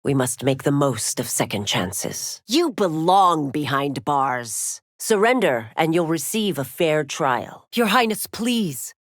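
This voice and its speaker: A woman's voice: sits low, sing-song, slow, hardly any pauses, no echo, clean studio sound, full character voice. A woman playing a stern, proud veteran constable in a video game, speaking in formal, clipped, authoritative declarations. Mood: stern, commanding. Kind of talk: video game fighter voice lines, dramatic acting.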